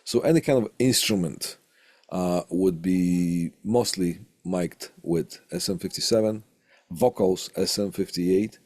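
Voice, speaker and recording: A man with a gravelly, husky voice giving an informal recorded lecture as an instructor, halting and hesitant with long pauses, close on a studio condenser mic. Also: deep voice, a little mellow, no echo. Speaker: college instructor teaching audio equipment. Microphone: close studio condenser microphone through tube preamp.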